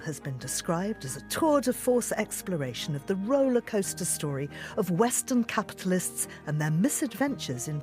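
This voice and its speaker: A woman's voice: on the low side, darkish tone. A woman hosting a radio interview about books in an effusive manner. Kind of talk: scripted introduction and conversational book interview.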